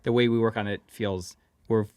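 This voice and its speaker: A man speaking casually as a podcast guest, haltingly thinking aloud. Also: lowish voice, steady tone, a little mellow, clean tone, no echo, studio quality. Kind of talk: casual interview chat.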